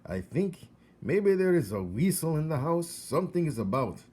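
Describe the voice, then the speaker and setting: A man's voice: on the high side, sing-song, normal speed, a little mellow, husky, voice pushed up. A man voicing a crazy, agitated old foreign man in a storybook reading. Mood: uneasy, conspiratorial.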